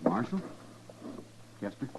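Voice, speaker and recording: An actor playing a sullen, defiant young frontier son in a radio western, husky, with a rural western American accent. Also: male voice, slow pace, darkish tone, slight background noise, lightly acted. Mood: guarded. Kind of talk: 1950s radio western acting. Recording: very muffled, narrow old radio sound.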